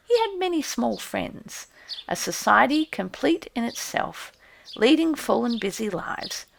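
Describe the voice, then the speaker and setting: A woman narrating an audiobook in an expressive storybook style. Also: on the high side, creaky.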